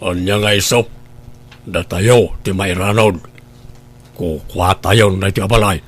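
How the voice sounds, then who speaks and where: A man's voice: deep voice, steady tone, unhurried, husky. Pushy scheming husband played by a man. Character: Lando, pushy scheming husband, demanding money from brother-in-law. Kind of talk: Ilocano radio drama acting.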